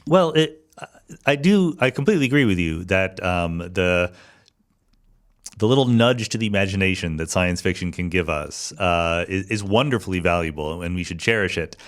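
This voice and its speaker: A man hosting a conversational interview podcast, speaking in a measured, thoughtful and articulate way. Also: low-pitched, expressive.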